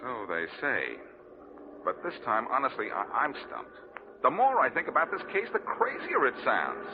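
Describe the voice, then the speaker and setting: An actor playing a confident, wry amateur sleuth with an American accent in a 1940s radio detective drama. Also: male voice, mid-range voice, huge pitch swings, noisy background. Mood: thoughtful. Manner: wisecracking, brisk. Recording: muffled, narrow old radio recording.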